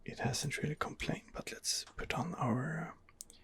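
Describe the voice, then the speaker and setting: A man softly narrating an ASMR game playthrough in character, hesitant and musing to himself in a hushed voice. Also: a little high, unhurried. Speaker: host of a roleplay gameplay series.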